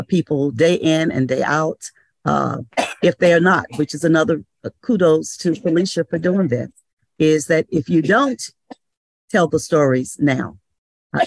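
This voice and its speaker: An older woman from North Carolina, a radio station owner, speaks with a gravelly, slightly rough edge. Her words come slow and considered, broken by frequent pauses. The sound is close and dry, with no room around it. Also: low-pitched, no room sound. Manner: measured, advising, many uh pauses. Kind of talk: panel discussion. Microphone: video call.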